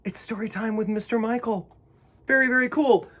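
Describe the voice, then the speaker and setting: A man hosting a children's storytime, reading and talking in a theatrical, expressive way. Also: extremely high pitch, a little fast. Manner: theatrical, exclamatory.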